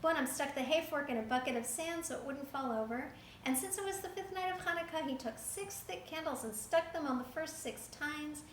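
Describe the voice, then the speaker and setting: A woman reading a folktale aloud to a child, lively and stopping to ask the listener questions. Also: sits high, fairly quick, hardly any pauses, echoey room, a little hiss or hum. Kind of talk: reading a picture book to a child.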